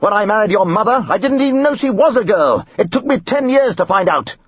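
A man's voice: high voice, expressive, brisk, slightly rough, no room sound, dull audio, voice pushed up. A man voicing a pompous, tyrannical upper-class father who blusters and screeches. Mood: outraged. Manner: pompous and shouting.

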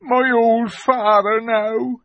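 A reader voicing a sorrowful male fawn who speaks slowly through heavy sobs. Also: medium pitch, level tone, a bit bright, no room sound, voice pushed up. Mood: anguished. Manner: sobbing, slow and anguished. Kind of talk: audiobook character voice.

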